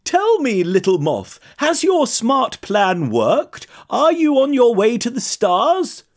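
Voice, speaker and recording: Woman as storybook giraffe, gentle, high, welcoming, polite, lively intonation. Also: slow pace, heavily acted. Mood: kindly, encouraging. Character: gentle, lonely, friendly giraffe, high and airy. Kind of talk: children's storybook character voice.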